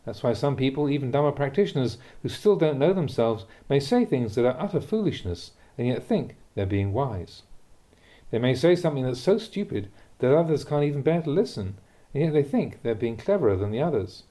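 Very mellow, dark-voiced man reading Buddhist teachings. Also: mid-range voice, very animated. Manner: measured, deliberate, instructive. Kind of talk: scripted reading of a dharma talk.

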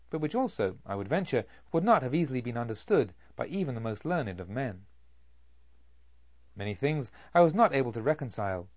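A man narrating a literary audiobook in a solemn, measured and deliberate manner. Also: mid-range voice, dry recording, highs cut off.

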